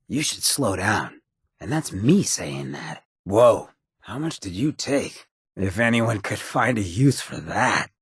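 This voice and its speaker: Super raspy ghoul rogue from a video game, wry streetwise drawl, kinda deep, close dry sound. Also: male voice, no echo, exaggerated voice. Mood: wry, casual. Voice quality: raspy, gravelly. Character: roguish, wry male ghoul mayor, chem-loving companion. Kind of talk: video game companion dialogue.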